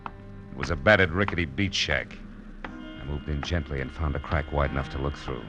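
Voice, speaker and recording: A deep-voiced American private eye, hard-boiled and wisecracking. He talks in clipped, slangy phrases in the manner of 1940s radio drama, with prominent music on the old broadcast. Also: moderate pace. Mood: hard-boiled narrating. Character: tough wisecracking male private detective. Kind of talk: 1940s radio drama acting and first-person narration.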